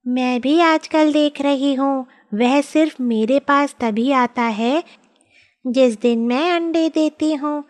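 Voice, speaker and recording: Woman voicing a high-pitched gentle magical hen. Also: slow. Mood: sad, resigned. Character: gentle female magical hen, grateful and troubled. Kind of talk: children's cartoon story dialogue.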